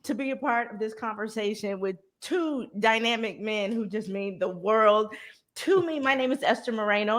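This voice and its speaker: A woman who founded a ministry, speaking as a guest in a casual conversation, bubbly and excited. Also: medium pitch.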